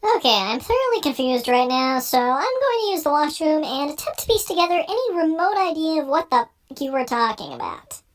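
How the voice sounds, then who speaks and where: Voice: high voice, very animated, fairly quick, hardly any pauses. A performer voicing a cocky, articulate young male card duelist in an anime fan production. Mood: baffled.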